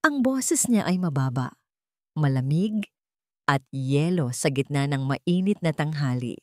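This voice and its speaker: A woman reading a scripted dramatic story in a measured, suspenseful way with dramatic pauses. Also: sits low, animated, no room sound, clean studio sound.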